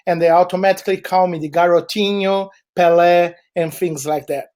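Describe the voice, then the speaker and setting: A man's voice: high voice, fairly even intonation, normal speed, darkish tone. A man from Chile with a Brazilian Portuguese accent, Portuguese his first language, giving a webinar presentation in a meandering way with frequent fillers.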